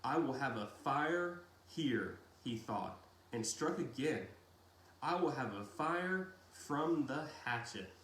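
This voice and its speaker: A man reading a novel aloud in a deliberate storytelling style with dramatic pauses. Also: mid-range voice, animated, a bit bright. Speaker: reader of a children's novel read-aloud. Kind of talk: audiobook-style narration with brief casual asides. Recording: roomy echo, faint background noise.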